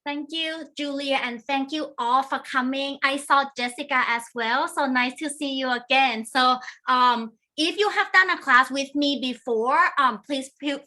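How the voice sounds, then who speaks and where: A woman's voice: high voice, measured pace, few pauses, sharp tone. A woman from Thailand with a Thai accent, teaching an online cooking class over a video call, talking in a friendly way with frequent ums.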